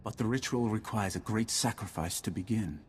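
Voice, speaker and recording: An actor playing a grave, proud Sith lord in a video game, making measured, ominous declarations. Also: male voice, fairly low pitch, slight background noise, close to an everyday voice. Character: grave Sith lord defector, proud, coldly calculating seer. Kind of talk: dramatic video game acting. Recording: loud music behind the voice.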